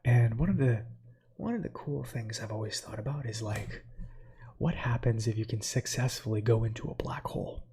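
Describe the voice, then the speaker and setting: A man in his thirties whose first language is Slovak, whispering softly as an ASMR streamer who reads space facts with chatty, meandering asides to viewers. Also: mid-range voice, moderate pace. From United States. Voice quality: breathy whisper.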